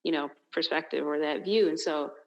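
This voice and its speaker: A woman's voice: sits low, normal speed, dull audio. A woman co-hosting a casual discussion, talking in long rambling runs with many fillers. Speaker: podcast co-host.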